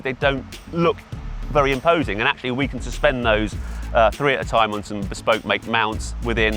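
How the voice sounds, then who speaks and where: A middle-aged man with a fairly low voice answers interview questions informally, explaining his work. Faint music sits behind him. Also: fairly bright tone. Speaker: event PA hire company representative, interviewee.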